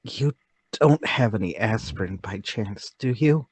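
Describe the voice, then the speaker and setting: Radio play: male actor as meek, nervous male lodger, low register, hesitant and courteous, dry close sound. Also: lively intonation, unhurried, a little mellow, husky, dry recording. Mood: flustered. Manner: hesitant, repeating himself, polite. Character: timid, nervous middle-class man lodger, secretly excited. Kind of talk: radio-play table read.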